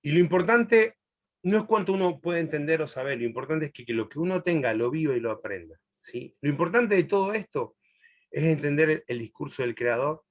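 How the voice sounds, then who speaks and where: A man's voice: on the high side, lively intonation. A middle-aged man from Chile, raised in Argentina, teaching a Bible class in a chatty, laughing way. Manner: warm, chatty, laughs often, long pauses. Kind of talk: answering a student in a live class.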